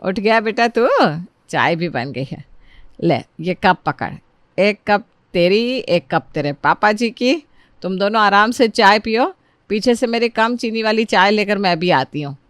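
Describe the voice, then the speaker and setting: A woman's voice: medium pitch, animated, unhurried, fairly bright tone, no echo. A woman voicing a caring, homely middle-aged mother in a story, affectionate and fussing.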